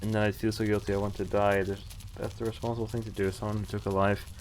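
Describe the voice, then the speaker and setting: A man's voice: lowish voice, little pitch movement, quick pace, slight background noise. A man streaming a game, reading visual novel text aloud and commenting in a casual, sweary way. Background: faint game music.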